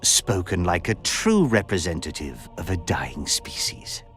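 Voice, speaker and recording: An actor playing a refined, verbose count in a video game, speaking in florid, theatrical, pompous phrases with sardonic and lecherous relish. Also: male voice, deep voice, sing-song, unhurried, fairly bright tone, heavily acted. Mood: wry, candid. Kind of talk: video game cutscene voice acting. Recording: music behind the voice.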